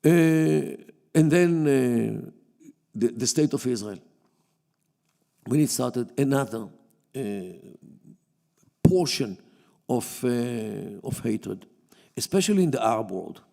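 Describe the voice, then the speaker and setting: A man whose first language is Yiddish gives a public lecture, talking haltingly with many fillers and restarts. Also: medium pitch, expressive, creaky, warm tone. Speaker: guest lecturer. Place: synagogue hall. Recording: long hall reverb.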